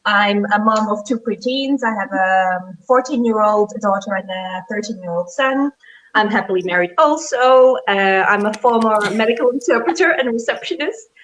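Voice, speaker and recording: A woman in her forties, from the Netherlands, a guest on a casual online interview, relaxed and halting, repeating words. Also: medium pitch. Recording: dull lo-fi audio with faint noise.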